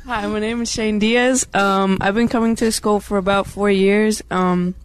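A man's voice: sits high, steady tone, moderate pace, smooth. An eighteen-year-old man, a graduating student guest, speaking casually with many ums.

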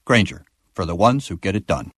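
Low-voiced man reading a radio ad. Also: very animated, fairly quick, very noisy. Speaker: commercial voice-over. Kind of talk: scripted radio ad.

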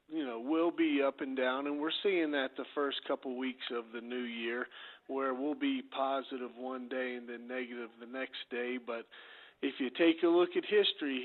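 Hoarse financial guest over a phone line. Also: male voice, medium pitch. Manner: upbeat, easygoing sales talk. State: losing his voice. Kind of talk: radio guest segment.

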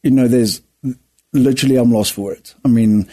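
A man's voice: mid-range voice, steady tone, normal speed, dark tone. Earnest south african man, afrikaans native. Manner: earnest, repeats words as he searches for them. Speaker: guest, rugby player. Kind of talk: casual interview talk.

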